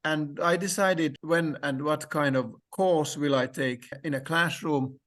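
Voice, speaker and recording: A man whose first language is Finnish, talking slowly and hesitantly in a discussion, with many fillers and restarts. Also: a little high, fairly even intonation, a bit bright. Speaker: language learner sharing experience. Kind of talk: conversational discussion.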